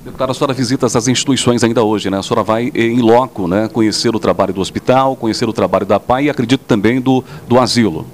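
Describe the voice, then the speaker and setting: Fast-talking male reporter. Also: mid-range voice, slight background noise. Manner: leading questions with tag "né?". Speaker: local reporter. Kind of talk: interviewing politicians.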